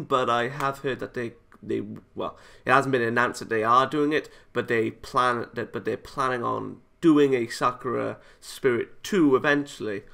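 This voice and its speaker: A man hosting a gaming video, reading a visual novel aloud and giving casual, rambling commentary in a halting way. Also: mid-range voice, vocal fry, sharp tone.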